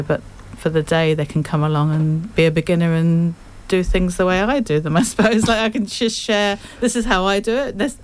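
A woman's voice: fairly low pitch, low-quality recording. A woman in her fifties with an English accent, a guest artist chatting in a radio interview and laughing often. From England. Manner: chatty, laughs often, warm self-deprecating.

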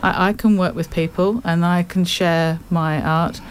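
An English-accented woman in her fifties talks through her work as a guest on a radio program. Her voice sits a little low, and she chats easily, laughing often as she goes. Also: fairly even intonation, measured pace, clear, resonant tone. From England. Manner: chatty, laughs often, warm self-deprecating. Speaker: guest artist. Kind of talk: casual radio interview.

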